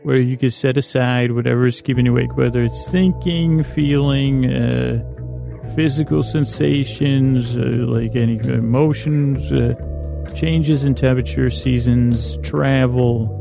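Man, extremely creaky voice, meandering bedtime monologue, stammering repeats, dry recording, faint music behind. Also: medium pitch, fairly even intonation, measured pace. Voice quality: creaky, gravelly. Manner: lulling, soothing. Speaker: podcast host. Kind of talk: rambling bedtime sleep-podcast monologue.

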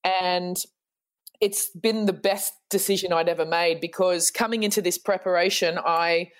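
A woman's voice: on the low side, fairly even intonation, normal speed. A woman guest in a casual interview, speaking earnestly and reflectively, with some restarts. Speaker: guest, fitness trainer.

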